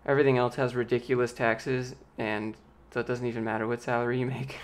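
A man in his late twenties with a light, youthful voice that sometimes squeaks, casually chatting and answering viewer questions on a live stream from a small home office into a close studio microphone. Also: brisk. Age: late 20s. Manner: relaxed, rambling, reads viewer questions aloud. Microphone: large studio mic with pop filter, close.

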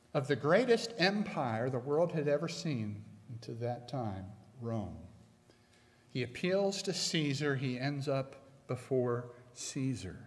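Slow, emphatic middle-aged male preacher. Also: mid-range voice. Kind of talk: sermon. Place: church sanctuary, at a pulpit. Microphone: pulpit microphone. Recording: long church reverb.

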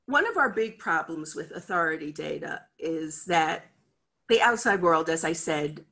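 Bright-voiced American woman giving a talk. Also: sits low, expressive, a little slow, creaky. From United States. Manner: chatty, candid, acts out quoted voices. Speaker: head of a library cataloguing department. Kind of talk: conference talk over video call. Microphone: video call webcam.

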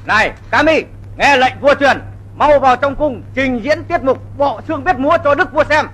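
Imperious, high, bright male royal voice. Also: normal speed, a little hiss or hum. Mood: commanding. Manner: imperious, declamatory. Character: stern, commanding royal authority in a folktale court. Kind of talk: old animated folktale film dub.

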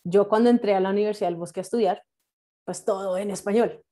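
A young Colombian woman whose first language is English leads an online class. Her voice is soft-edged and relaxed at a medium pitch. She explains informally and encouragingly, pausing to search for words and check that students follow. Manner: encouraging, halting with frequent check-in questions. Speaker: medical English teacher and nurse. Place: indoors, on a video call. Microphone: video call microphone.

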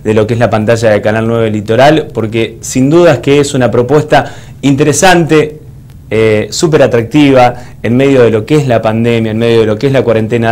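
Mid-pitched Argentine male TV interviewer. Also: a little mellow. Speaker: TV presenter, interviewer. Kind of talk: broadcast interviewing. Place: TV studio.

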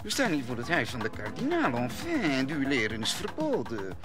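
An actor playing a prickly, affected gentleman musketeer in an audio drama. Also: mid-range voice, huge pitch swings, normal speed, music in the background. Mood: prickly, indignant.

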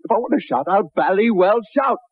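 An actor playing an intense, rough-mannered lover pressing a married woman in a 1960s radio drama. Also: high voice, expressive, fairly quick, no room sound. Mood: pleading, heated. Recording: muffled, narrow-band 1960s radio recording.

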